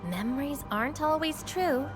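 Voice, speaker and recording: A woman's voice: sits high, moderate pace, lightly acted. Woman as composed, enigmatic game warrior over music. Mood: cool, knowing. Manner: composed, knowing, slightly formal. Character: self-assured enigmatic young woman warrior, coolly teasing. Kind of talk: video game cutscene acting.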